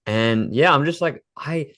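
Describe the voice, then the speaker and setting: A man's voice: mid-range voice, a little slow, fairly bright tone. A man co-hosting a casual podcast, asking probing questions with a teasing edge. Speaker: podcast co-host.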